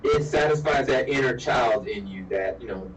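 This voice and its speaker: A man's voice: medium pitch, normal speed. A man chatting informally as a guest over an internet video call, rambling and often repeating himself. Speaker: guest, animator and artist. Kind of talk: casual chat. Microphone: internet video call on a backup device. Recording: distant mic with faint noise.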